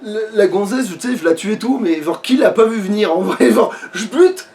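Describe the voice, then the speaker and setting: Male gamer, notably high-pitched, slangy and sweary, casual chat, picked up from some distance. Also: lively intonation, a little fast, a little mellow. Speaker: video game player. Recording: distant from the mic.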